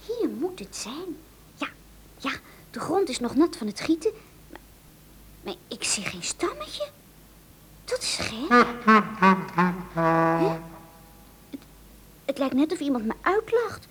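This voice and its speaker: A woman playing a naive, eager wooden puppet boy in a children's audio play, stammering and excitable. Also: sits high, a little slow, pauses often, a little hiss or hum, full character voice. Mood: puzzled, upset.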